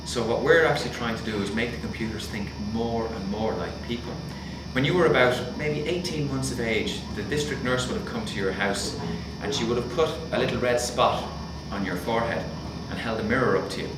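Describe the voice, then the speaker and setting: A man's voice: mid-range voice, fairly quick, hardly any pauses. Man explaining from across an echoey room. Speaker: researcher. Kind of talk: explaining research to schoolchildren. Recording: echoey room, distant mic, background noise.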